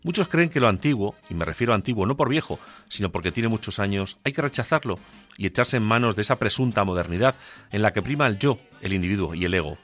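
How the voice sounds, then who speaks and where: Low-voiced Castilian man declaiming on radio. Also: lively intonation, quick pace, fairly bright tone. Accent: central Castilian Spanish. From La Mancha, central Spain. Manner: declamatory, rhetorical, emphatic. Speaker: radio contributor. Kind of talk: impassioned scripted radio monologue. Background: music under the voice.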